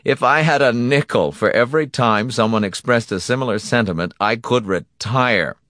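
A man voicing a politely menacing male boss in an audiobook, indulgent and condescending, with drawn-out, mock-courteous phrasing. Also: medium pitch, a bit bright, no room sound, studio quality, pitched above the performer's own voice, natural voice. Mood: weary, lecturing. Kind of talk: audiobook character acting.

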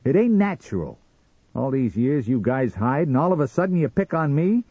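A man voicing a brash, boastful, hot-tempered hot dog vendor in an audiobook story, loud and blustering. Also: medium pitch, sing-song, moderate pace, breathy edge, dry recording, narrow, tinny audio, voice pushed up. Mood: angry, belligerent. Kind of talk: dramatised audiobook character reading.

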